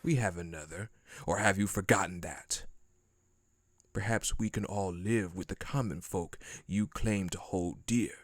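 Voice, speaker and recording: A man's voice: low-pitched, unhurried, no echo, studio quality. A man playing a haughty, power-hungry prince in a dramatic audio roleplay, with a contemptuous, menacing and formal delivery. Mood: sneering. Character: cold, haughty, power-hungry prince who scorns weakness.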